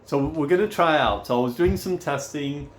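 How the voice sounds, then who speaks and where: A man, hoarse from losing his voice, talking casually and at length to his viewers as a gaming YouTuber. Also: on the high side, moderate pace, slight background noise. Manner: rambling, repeats "obviously" and restarts often. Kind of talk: casual rambling vlog talk.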